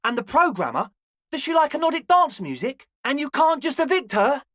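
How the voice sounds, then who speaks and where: Game role: earnest twenty-year-old club kid, eager and a bit grandiose, very animated delivery, close dry sound. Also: male voice, extremely high pitch, fairly quick, dry recording, full character voice. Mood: hopeful, curious. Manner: slacker slang mixed with grandiose phrasing. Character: balding twenty-year-old raver dreamer, eager, earnest, slightly pompous. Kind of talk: video game character dialogue.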